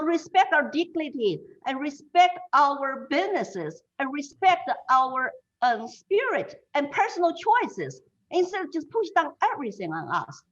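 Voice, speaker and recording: A woman's voice: high-pitched, muffled recording. A woman from China with a Chinese accent, speaking as an interview guest in an earnest, emphatic way. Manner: earnest, emphatic, with frequent restarts. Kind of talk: passionate conversational interview.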